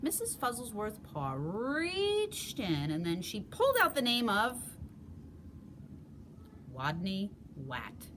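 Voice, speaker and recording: A woman narrates a children's picture book slowly, with very lively pitch. She leans on key words and pauses for drama, and there is clear background noise. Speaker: storybook reader. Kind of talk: expressive children's read-aloud narration.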